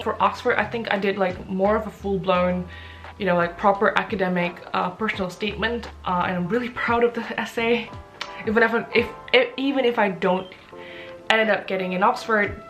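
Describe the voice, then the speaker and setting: A woman's voice: medium pitch, fairly bright tone. A woman in her twenties from Indonesia with an Indonesian accent, giving advice on a casual vlog and talking with lots of fillers and restarts. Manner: chatty with frequent fillers and restarts. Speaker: vlogger answering viewer questions.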